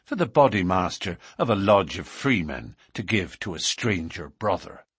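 A bold young-blooded outlaw from an audiobook, played by a man. He is self-assured and matter-of-fact in his defiance, with a slightly husky voice, lively intonation and a close, dry sound. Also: on the low side, moderate pace, dry recording, exaggerated voice. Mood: dignified. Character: cool, bold male outlaw newcomer, quick-tongued. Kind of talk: audiobook character dialogue.

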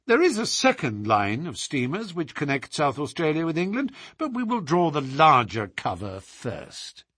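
A man voicing a courteous, assured gentleman detective with a measured, persuasive manner in an audiobook story. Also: a little high, wildly swooping pitch, breathy edge, dry recording, exaggerated voice. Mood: brisk.

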